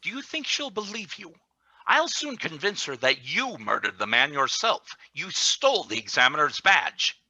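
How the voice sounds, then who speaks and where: Radio play villain: scheming security chief, acted by a man, very hard bright tone, lively swings, insinuating menace. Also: a little high, moderate pace, dry recording, exaggerated voice, low-quality recording. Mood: accusing.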